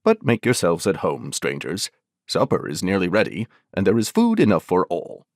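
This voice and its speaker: A deep, dryly recorded storybook voice of an odd mountain man, played by a woman. He is pompous yet hospitable, declaiming with big rises and falls in pitch. The manner is solemn and full of his own importance. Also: wildly swooping pitch, normal speed, a little mellow, no room sound, clean studio sound, exaggerated voice. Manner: solemn, pompous, declamatory, sing-song in verse. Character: Bumpy Man, quirky hospitable self-important mountain hermit covered in bumps.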